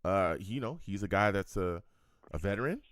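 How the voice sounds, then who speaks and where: A man hosting a casual sports talk show, lively and prone to repeating words as he starts his thoughts. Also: sits low, moderate pace, no room sound. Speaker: podcast host.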